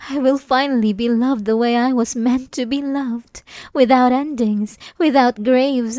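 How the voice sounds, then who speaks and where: A woman's voice: fairly high pitch, a little mellow, no echo, clean studio sound, lightly acted. A woman playing an obsessive, possessive centuries-old vampire in an ASMR roleplay, speaking in a theatrical, silky, menacingly tender way.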